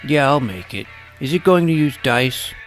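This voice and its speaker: A man's voice: mid-range voice, animated, moderate pace, soft background music. A man narrating a first-person scripted audio drama as its young protagonist, earnest and precise.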